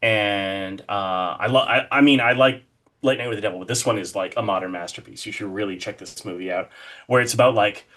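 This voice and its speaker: A man with a fairly low-pitched voice speaks as an interview guest. His delivery is casual and conversational. He comes across as enthusiastic and effusive. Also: fairly quick, fairly bright tone. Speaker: podcast guest, horror artist.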